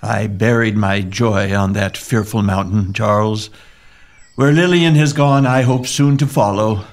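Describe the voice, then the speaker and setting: An actor playing a grieving young English gentleman in a Victorian audio drama, speaking earnestly and formally. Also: lowish voice, unhurried. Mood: mournful.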